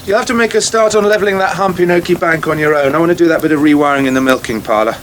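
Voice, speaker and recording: An actor playing a brisk, offhand young English farmer in a naturalistic British radio soap. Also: male voice, on the high side, a bit bright, lightly acted. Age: young adult. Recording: 1980s radio drama, faint background noise.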